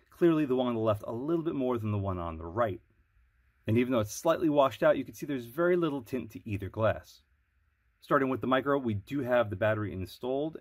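Guy with a stuffy cold, kinda husky, explaining gear calmly, dry close mic. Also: mid-range voice, no room sound. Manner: measured, explanatory. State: nasal from a cold. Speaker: product reviewer. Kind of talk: product review voice-over.

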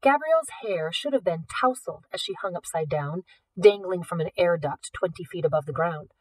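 A woman reading a novel aloud as an audiobook, in expressive scripted narration. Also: lowish voice, moderate pace, slightly rough, dry recording, distant mic, poor audio. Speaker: audiobook reader.

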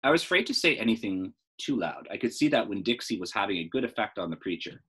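A man's voice: on the low side, big pitch swings, fast talker, fairly bright tone, slightly rough. A middle-aged American man reading a story aloud like a teacher, expressively, with casual explanatory asides. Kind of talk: read-aloud storytelling with informal lesson asides.

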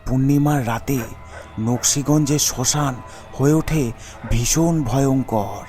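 A man's voice: medium pitch, slow pace, darkish tone, faint music behind, natural voice. A man voicing a frightened rural rickshaw puller in a cartoon ghost story, speaking in an alarmed, ominous way. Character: frightened rural rickshaw puller warning two young passengers. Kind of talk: animated ghost story character voice.

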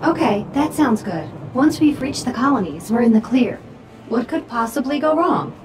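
A woman's voice: fairly high pitch, lively intonation, moderate pace, lightly acted. A woman voicing a loyal, anxious and wry companion combat drone in a video game, alert and conversational. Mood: uncertain, wry. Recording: distant voice under loud game music.

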